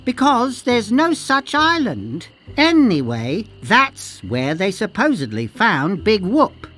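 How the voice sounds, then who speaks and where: A woman's voice: medium pitch, huge pitch swings, slow pace, a bit bright, exaggerated voice. An actress playing a cagey, knowing woman cartographer in a pirate video game, chatty and conspiratorial. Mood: storytelling. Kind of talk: video game dialogue acting.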